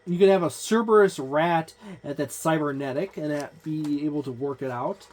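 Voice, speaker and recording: Mellow-voiced man reviewing a game book. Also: a little high, normal speed. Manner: meandering, thinks aloud.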